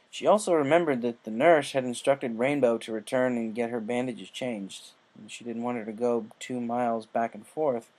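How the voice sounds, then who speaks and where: A man's voice: medium pitch, a little mellow. A man reading a scripted story aloud as narrator, in audiobook style.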